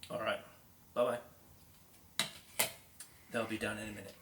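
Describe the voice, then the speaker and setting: An actor playing a polite, fussy office receptionist who hesitates with uhs. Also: male voice, lowish voice, flat delivery, slow. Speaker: council receptionist. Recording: echoey room with faint noise.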